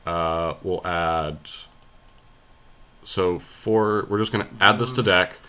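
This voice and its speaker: A man with a fairly low, clearly bright voice. He talks casually through a card game as a podcast host, reasoning aloud and settling each choice decisively. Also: steady tone, takes it slowly. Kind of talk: casual deckbuilding commentary.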